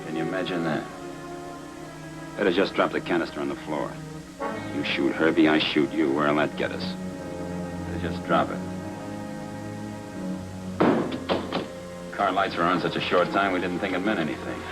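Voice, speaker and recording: An actor playing a smug, menacing gang boss, taunting and wry, in 1940s film noir style. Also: male voice, a bass voice, a little fast, long pauses, poor audio. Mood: wry, controlled threat. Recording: old film soundtrack with music underneath.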